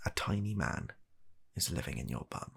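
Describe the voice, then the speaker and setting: Low, husky British man acting a deadpan doctor. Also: heavily acted. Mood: baffled, resigned. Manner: halting, deadpan, exasperated. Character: weary, reluctant, baffled male doctor humouring an absurd patient. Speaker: comedy ASMR performer. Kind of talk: comedy ASMR medical roleplay.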